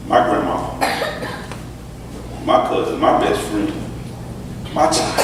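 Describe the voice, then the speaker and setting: A man preaching a sermon, teasing his congregation and swinging between low intimate talk and shouted preaching. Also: noisy background. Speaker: pastor. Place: large church hall. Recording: echoey hall from far off. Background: congregation responding.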